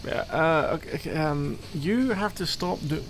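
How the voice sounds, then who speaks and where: A needy young boyfriend played by a man in an ASMR roleplay, talking over clicky background noise. His voice is fairly high and crackles with fry. He stumbles through casual explanations, teasing and mildly exasperated. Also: lightly acted. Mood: teasing exasperation. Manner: halting, teasing, mumbly asides while playing a game.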